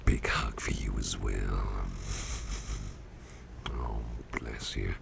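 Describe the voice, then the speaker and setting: A man playing a sickly, grateful and affectionate boss in a whispered ASMR roleplay, speaking slowly and hesitantly in a gravelly, breathy, folksy way. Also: rumbling low, fairly even intonation, full character voice. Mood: cosy, affectionate. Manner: slow, hesitant, folksy and tender. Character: sickly male boss, grateful, affectionate, self-deprecating workaholic. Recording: noticeable background noise, soft ambience.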